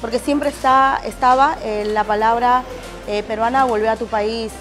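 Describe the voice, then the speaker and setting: A woman's voice: fairly high pitch, steady tone, normal speed, fairly bright tone, faint music behind. A woman in her forties from Peru who runs a restaurant, speaking plainly and sincerely in a documentary interview. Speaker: restaurant owner. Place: restaurant counter.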